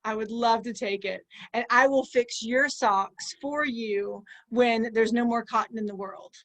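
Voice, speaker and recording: A woman with a slightly high voice explaining an improv scene over a video call. She sounds cheerful and giggly. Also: measured pace, a bit bright, low-quality recording. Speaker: improv performer. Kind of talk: improv comedy.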